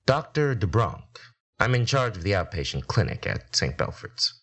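A woman reading a story and voicing a brisk, confident middle-aged male doctor who takes charge. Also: rumbling low, sing-song, a bit bright, breathy edge, voice pushed down, exaggerated voice. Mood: assured.

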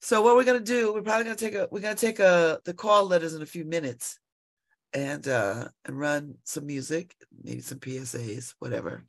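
A woman's voice: low voice, a little fast. A congested woman hosting local radio, chatting and rambling with exclamations. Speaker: radio host. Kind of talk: casual solo talk on local radio.

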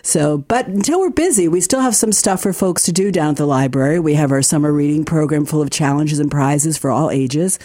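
Mellow-voiced woman hosting local radio. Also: low voice, brisk, few pauses, dry recording. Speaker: radio host, library community partnership director. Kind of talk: conversational radio program hosting.

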